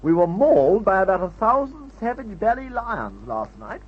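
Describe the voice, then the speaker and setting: A man's voice: sits high, sing-song, normal speed, breathy edge, phone-quality sound, exaggerated voice. An actor playing a jaunty, flippant upper-class English airman with breezy old-fashioned slang, in a mid-century radio adventure serial. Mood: jaunty boasting.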